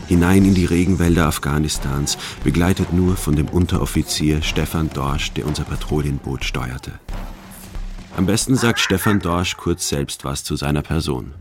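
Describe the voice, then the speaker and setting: An actor playing a stern German army sergeant in a radio drama, speaking in a measured, officious way. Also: male voice, rumbling low, background noise. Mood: sombre, measured. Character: stern German army sergeant recounting a secret river mission. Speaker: narrator.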